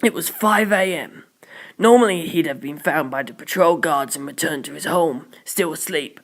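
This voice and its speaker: An amateur audiobook narrator with a medium-pitched voice reads fiction in the first person. The delivery is expressive and made for storytelling. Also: moderate pace.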